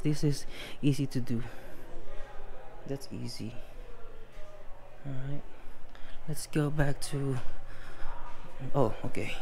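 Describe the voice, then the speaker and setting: A man's voice: medium pitch, a little mellow, slight background noise, low-quality recording. A man giving a casual, chatty walkthrough of a large house, filming on a handheld phone and admiring the rooms as he goes. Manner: slow, chatty, admiring remarks. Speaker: real estate agent touring a home. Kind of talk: casual home tour walkthrough. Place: large furnished house, indoors. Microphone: handheld phone camera. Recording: other visitors chatting behind.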